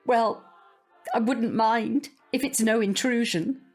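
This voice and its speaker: An actress playing a prim, hesitant, politely disapproving neighbour in an audio drama. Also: female voice, fairly high pitch, takes it slowly, soft background music.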